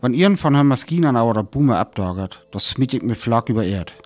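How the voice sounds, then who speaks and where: Man acting a weary lone survivor in a radio play, north frisian accent, sparse dramatic telling, mid pitch, bit of music under. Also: animated, fairly quick, darkish tone, natural voice. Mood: weary, wary. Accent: North Frisian (Föhr). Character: weary, lone male survivor of an alien invasion.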